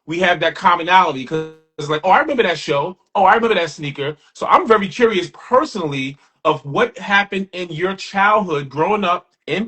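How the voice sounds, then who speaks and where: Brooklyn host in his forties, bright voice, slightly high pitch, easygoing. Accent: New York. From Brooklyn, New York. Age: 40s. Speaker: podcast host. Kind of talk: casual interview.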